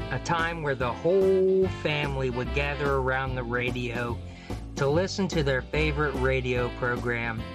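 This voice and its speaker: A man narrating a nostalgic scripted promo as an announcer. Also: on the high side. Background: guitar music.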